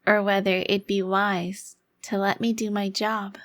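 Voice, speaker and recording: A woman's voice: mid-range voice, flat delivery, unhurried, fairly bright tone, lightly acted. A woman playing a haughty, centuries-old vampire crime lord trapped in a child's body, speaking formally in an ASMR roleplay. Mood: grim, defiant.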